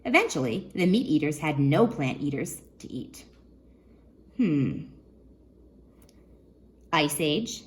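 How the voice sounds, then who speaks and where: A woman children's librarian reading a nonfiction book aloud, expressively, pausing to put questions to young listeners. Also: sits low, very animated.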